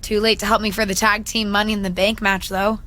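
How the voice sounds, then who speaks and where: A woman's voice: mid-range voice, flat delivery, brisk, bright, forceful tone, natural voice. An actress playing an eager, plucky young female pro wrestler in a video game cutscene, speaking sincerely. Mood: reflective.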